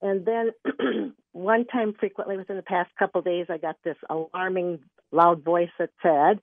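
A woman's voice: on the low side, fairly even intonation, moderate pace, no echo. A woman calling in to a radio show, asking a question in a hesitant, rambling way. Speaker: caller. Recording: tinny phone line.

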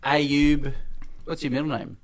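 A man's voice: a little high, fairly even intonation, measured pace. A man from Sydney, a podcast host who asks friendly, chatty questions with asides. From Sydney, Australia. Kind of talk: casual interview chat.

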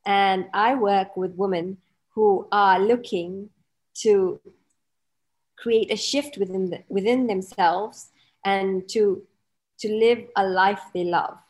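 A woman's voice: mid-range voice, steady tone, takes it slowly. A woman from Malawi speaks as a relationship coach in a podcast interview. She is reflective and hesitant, with many fillers and restarts as she tells her own story.

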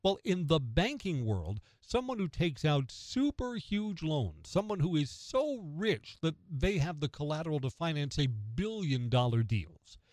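A man's voice: a little high, sing-song, unhurried, few pauses, darkish tone, no echo, clean studio sound. An American man giving conversational opinion commentary as a radio host, emphatic, asking questions and answering them himself. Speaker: radio talk show host.

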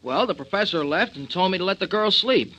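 An eager, streetwise male sidekick in an old-time radio drama. The voice is high and very sharp, leaping up and down as he pleads earnestly in slangy speech. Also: lively intonation, a little fast, exaggerated voice, rough recording. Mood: pleading, defensive. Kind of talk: 1940s radio acting.

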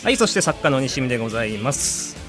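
A man's voice: mid-range voice, very animated, fast, music in the background. A man co-hosting a podcast as its writer, chatting casually and prompting the host. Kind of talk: casual chat.

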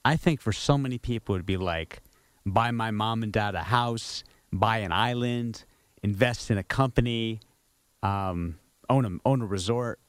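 Male co-host, measured, explanatory, dry close mic. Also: on the low side, no room sound. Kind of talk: sports radio talk. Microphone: studio mic.

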